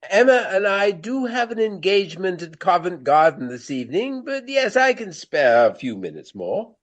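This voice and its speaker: An aging Regency actor for a radio play. He is a pompous, nostalgic dandy whose rather high voice swoops theatrically through florid phrases, with grand pauses. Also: sing-song. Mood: courteous.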